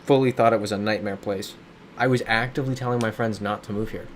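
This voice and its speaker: A man's voice: fairly low pitch, steady tone, quick pace, slight background noise. Chatty man streaming from home. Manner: chatty, reads viewer comments aloud and riffs on them. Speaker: streamer reading chat. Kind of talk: casual live-stream chat while playing a geography game. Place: his home in a big city.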